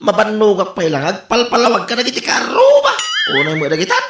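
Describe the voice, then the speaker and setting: A man playing a harried office worker in a comedy radio drama, whiny and exasperated, with an Ilocano accent and drawn-out exclamations. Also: high voice, wildly swooping pitch, fairly bright tone, roomy sound, heavily acted.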